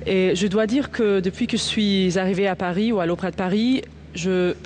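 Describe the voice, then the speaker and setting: A woman's voice: medium pitch, steady tone, fairly quick, a little mellow, a little hiss or hum. A young Egyptian woman, an opera singer, answering interview questions live outdoors, hesitant and laughing easily.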